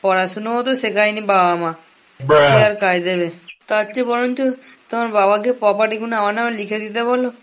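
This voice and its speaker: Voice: mid-range voice, fast, fairly bright tone, close to an everyday voice. An actor voicing a broke, jobless young boyfriend in a comic cartoon, whiny, crude and pleading, often repeating words. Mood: defensive.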